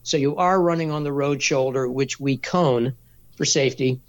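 A man answers interview questions in a steady, measured voice of middling pitch. He explains things practically and patiently, with the odd filler. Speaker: race director.

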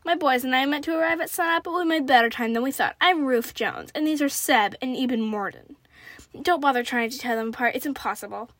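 Woman doing a slick mock-polite outlaw boss, light theatrical talk, highish, western storybook. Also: brisk, a bit bright, no echo. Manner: light, theatrical, falsely courteous. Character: smooth-talking younger male ruffian, mock-polite gang leader.

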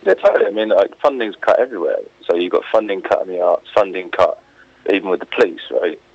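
A man with a very low, husky voice gives his views in a casual radio interview. He rambles informally and is opinionated. The sound is dull and lo-fi, like a phone link. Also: animated, fast talker, a little mellow, no room sound. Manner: informal, opinionated, rambling with frequent "you know" and "like". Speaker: interview guest.

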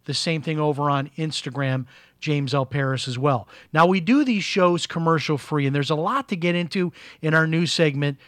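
A man with a fairly high speaking voice hosts a live talk broadcast, his voice a touch raspy from being sick. He talks in a loose, chatty way with plenty of "uh"s. The sound is dry and close, with no room around him. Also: normal speed, a bit bright, no room sound. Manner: chatty, conversational, with fillers. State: slightly raspy from illness. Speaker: host. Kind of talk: live talk radio monologue.

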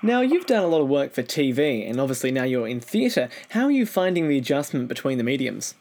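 A man's voice: medium pitch, big pitch swings, fairly quick, a little mellow, a little hiss or hum. A man, presenting a podcast and interviewing a guest as its host.

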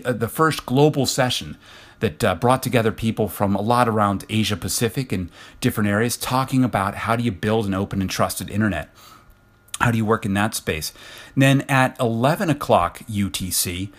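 Male podcast host, American accent, fairly low register. Earnest, informal solo announcer with emphatic phrasing.

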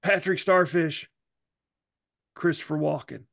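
A man's voice: fairly high pitch, measured pace, vocal fry, a bit bright, no room sound, studio quality. A man co-hosting a podcast with casual comedic banter. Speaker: podcast co-host.

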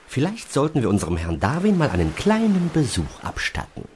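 Wildly animated scheming male assistant. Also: medium pitch, brisk, slight background noise. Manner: excitable, conspiratorial. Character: eager scheming male heavenly assistant, excitable and bungling. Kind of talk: radio comedy acting.

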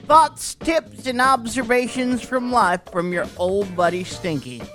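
A man's voice: very high-pitched, unhurried, bright, forceful tone, soft background music, heavily acted. A man voicing a folksy, joking old male fisherman, rambling and chuckling through a comic tale. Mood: jokey. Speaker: comic segment host.

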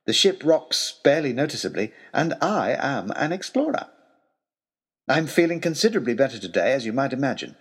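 A man's voice: fairly high pitch, breathy edge, exaggerated voice. A man playing an earnest tutor turned adventurer, reading out a letter in a formal, eloquent, wryly self-deprecating way. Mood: proud, buoyant. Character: earnest, wordy young male tutor turned would-be adventurer. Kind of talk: dramatic reading of a letter, storytelling.

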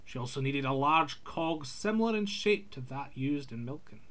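A man's voice: mid-range voice, animated, normal speed, fairly bright tone. A man reading a scripted folk tale aloud in an old-fashioned literary storytelling manner.

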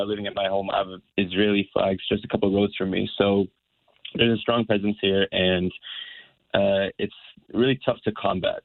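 Homem jovem de voz grave e rouca. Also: male voice, lowish voice, vocal fry, no room sound, narrow, tinny audio. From United States. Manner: earnest, halting, many restarts. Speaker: guest. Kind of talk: personal account in interview.

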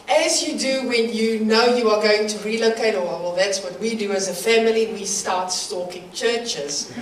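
A woman's voice: a little high, a little hiss or hum. South African woman preaching in a church. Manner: nervous, warm, laughs between phrases. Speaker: guest preacher. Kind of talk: church sermon. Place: church hall. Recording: roomy church hall from far off.

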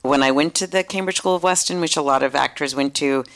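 Woman, low pitch, chatty podcast guest, loose and rambling. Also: quick pace. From United States. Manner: chatty, many "like" asides, acts out quotes. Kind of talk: casual conversation.

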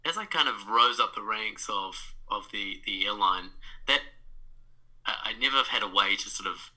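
Bright-voiced New Zealand man, reflective. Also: on the low side, moderate pace, poor audio. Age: 30s. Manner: reflective, many fillers and restarts. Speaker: guest, life coach. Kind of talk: casual podcast interview.

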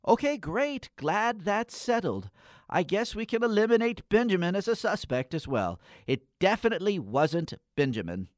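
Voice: medium pitch, very animated, normal speed, dry recording, studio quality, lightly acted. A performer playing a morose, exasperated boy in a comic radio play, with theatrical, old-fashioned phrasing. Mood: awkward, sarcastic. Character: Eddie Poe, morose, exasperated boy in a spooky parody.

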